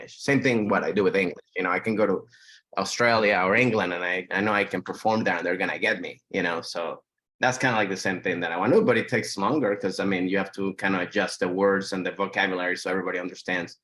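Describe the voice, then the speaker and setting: Venezuelan man, lowish voice, halting. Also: fairly even intonation, fairly bright tone. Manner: thoughtful, halting, lots of fillers. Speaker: guest comedian. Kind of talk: casual podcast chat.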